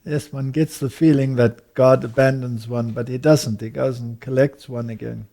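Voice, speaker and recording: A man hosting a sit-down interview, formal and measured as he welcomes his guest. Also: medium pitch, fairly even intonation, creaky, warm tone. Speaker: interview host.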